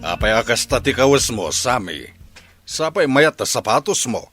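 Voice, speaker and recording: A man's voice: mid-range voice, sing-song, moderate pace, a bit bright, faint music behind. An actor playing a stern, questioning middle-aged father with an Ilocano accent in a radio drama. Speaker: father character.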